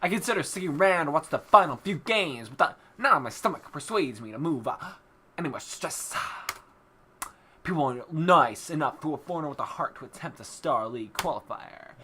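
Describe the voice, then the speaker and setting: A man's voice: a little high, lively intonation, a little fast, pushed and bright, a little hiss or hum. A young man hosting a let's play, joking casually and reading game text aloud. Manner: joking, bantering. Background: background music.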